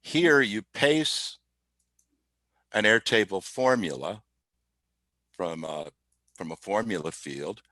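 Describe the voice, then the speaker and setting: Guy with a lowish voice walking thru a tech demo, kinda halting, dry close sound. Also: bright, forceful tone, dry recording. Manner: halting, explanatory. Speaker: podcast guest demonstrating software. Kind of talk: informal technical demo.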